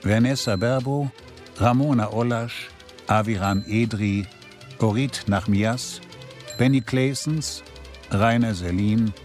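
A man working as an announcer, reading scripted radio drama credits in a formal, deliberate manner. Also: lowish voice, darkish tone.

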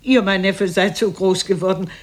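An actress playing a kindly, chatty, scolding old aunt with a husky voice and courteous, expressive radio drama delivery. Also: female voice, medium pitch, moderate pace, close to an everyday voice. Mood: confiding. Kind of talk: 1950s German radio drama acting.

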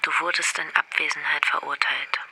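An actress playing a guarded, sceptical former lover, curt and challenging in a radio drama. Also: female voice, low voice, steady tone, moderate pace, gravelly, sharp tone, slight background noise, heavily acted, rough recording.

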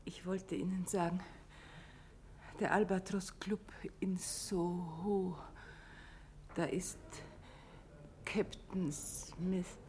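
Weak injured countess, breathy halting very slow, soft dark voice. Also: female voice, on the low side, fairly even intonation. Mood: weak, fading. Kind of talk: 1950s German radio drama acting. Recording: faintly noisy old radio recording.

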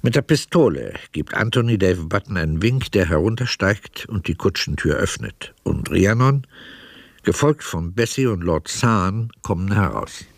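Low-voiced male radio play narrator. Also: very animated, moderate pace, vocal fry, no room sound.

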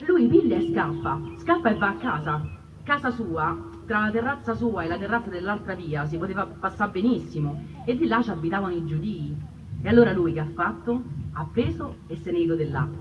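A woman's voice: on the high side, far from the mic, lo-fi sound, background noise, natural voice. An actress playing an elderly Roman woman recalling her anti-fascist childhood, telling it colloquially in a Roman accent as stage testimony. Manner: colloquial storytelling. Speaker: stage actress in a radio theatre piece. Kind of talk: dramatised oral-history testimony in dialect.

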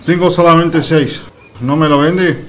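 A man's voice: fairly high pitch, fairly even intonation, measured pace, a bit bright. A man playing Pedro, a pensive, polite countryman who muses simply aloud in an amateur radio play. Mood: eager, polite. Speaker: amateur radio theatre actor. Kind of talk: radio theatre acting.

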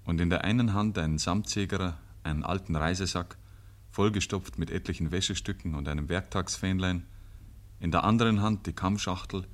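A man narrating as a wry, folksy storyteller with a Bavarian accent, in dramatic 1950s radio style. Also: low voice.

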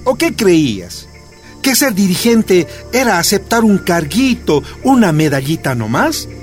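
Older bolivian dad in a radio play, kinda high voice, very expressive, firm but encouraging, faint music. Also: male voice, measured pace. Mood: earnest, firm. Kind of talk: radio drama acting. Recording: music behind the voice.

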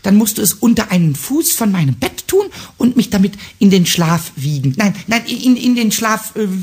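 Tiny cheeky kobold for radio play: very husky, squawky, animated, stammers with excitement. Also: male voice, sits high, normal speed, full character voice. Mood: excited, musing. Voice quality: raspy, squawky. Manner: stammering, repeating words excitedly. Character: small cheeky childlike male kobold, excitable and whimsical. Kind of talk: German radio play acting.

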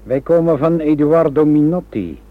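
An actor playing a suave Italian mafia envoy in a radio drama, with a smooth, ironically courteous manner. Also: male voice, fairly high pitch, moderate pace, lightly acted. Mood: mocking politeness. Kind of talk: Dutch radio drama acting. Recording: thin, phone-like old radio recording.

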